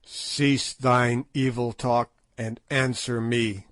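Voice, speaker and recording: A man reading aloud and voicing a stern king who speaks slowly and with command. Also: medium pitch, level tone, fairly bright tone, no room sound. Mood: threatening. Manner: slow, stern, measured.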